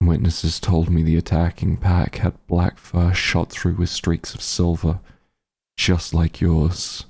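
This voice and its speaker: A man playing a bitter, menacing fantasy werewolf hunter in an ASMR roleplay, speaking in a cold, deliberate, contemptuous way. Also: low-pitched, completely flat delivery, vocal fry, a little mellow, slightly rough, full character voice. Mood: accusing, contemptuous. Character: bitter, menacing werewolf hunter grieving his missing friend. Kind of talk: scripted ASMR roleplay, slow dramatic monologue.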